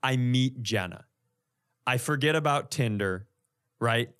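American man telling animated comic stories. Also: mid-range voice, unhurried, clean tone. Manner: animated, profane, acts out reactions in stories. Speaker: comedian and podcast co-host. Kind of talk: casual comedic storytelling in podcast banter.

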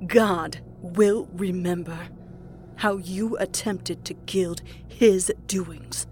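Woman acting slow, fanatical religious zealot woman. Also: high voice, faint music behind, voice pushed up. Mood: menacing. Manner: slow, drawn-out proclaiming. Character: fanatical, mocking woman patient in religious ecstasy. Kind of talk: dramatic audiobook character acting.

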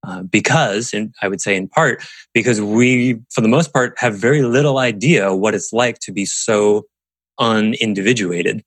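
A man's voice: on the low side, normal speed, husky, dry recording. A man hosting a podcast discussion, musing aloud and posing rhetorical questions to the group. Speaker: podcast host.

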